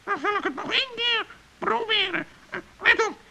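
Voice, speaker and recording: A performer playing a grumpy but loyal male talking bird in a children's radio play, with a sharp, squawky voice and indignant delivery. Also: very high-pitched, normal speed, vocal fry, heavy background noise. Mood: reluctant, grumbling.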